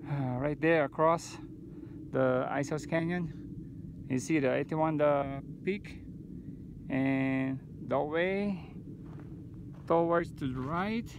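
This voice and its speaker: A man in his thirties filming himself on a mountain hike, talking in a casual, unhurried way with many fillers and restarts, recorded on a phone held at arm's length outdoors. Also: a little high, background noise. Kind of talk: casual hiking vlog narration.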